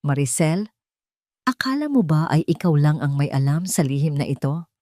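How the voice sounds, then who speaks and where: A woman narrator plays a rich older villainess in an audio drama. She is sharp, scornful and taunting, heard in a dry close recording. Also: low-pitched, very animated, normal speed, no echo.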